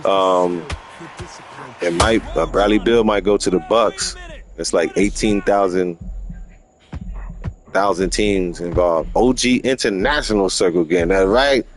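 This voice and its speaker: Lively, slangy man chatting outdoors. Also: low-pitched, unhurried, long pauses, soft background music. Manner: animated and slangy, often laughs. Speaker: vlogger. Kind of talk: casual vlog chat. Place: outdoors on a dog walk.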